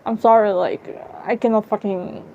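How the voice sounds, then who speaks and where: A woman with a Serbian accent musing aloud about life in a halting, self-correcting way, swearing casually now and then. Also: mid-range voice, fairly even intonation, creaky, darkish tone, slight background noise. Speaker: vlogger. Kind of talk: rambling philosophical musing. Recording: muffled lo-fi audio.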